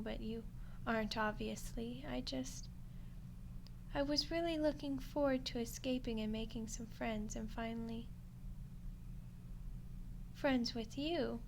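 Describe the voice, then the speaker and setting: A woman plays an undead skeleton girl with a fairly high voice in an ASMR audio roleplay. She is lonely and friendly, rambling in a casual, awkward way straight to the listener. There is clear background noise around her.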